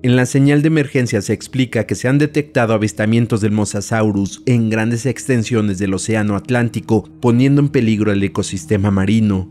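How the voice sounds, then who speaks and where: A man's voice: lowish voice, fairly quick, hardly any pauses, a little mellow. A man hosting as an explainer, reading scripted narration. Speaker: host, explainer.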